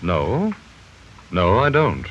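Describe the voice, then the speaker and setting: An actor playing a calm, thoughtful doctor who speaks in a measured, grave way, in 1940s radio drama style. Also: male voice, deep voice, slight background noise, exaggerated voice. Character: calm, thoughtful middle-aged male doctor. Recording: muffled 1940s radio broadcast.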